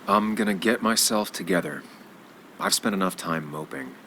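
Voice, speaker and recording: An actor playing a guarded, brooding journalist in a video game, speaking in a reserved, understated way. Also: male voice, sits low, moderate pace, pushed and bright, slight background noise. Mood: guarded, uneasy. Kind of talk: naturalistic video game acting.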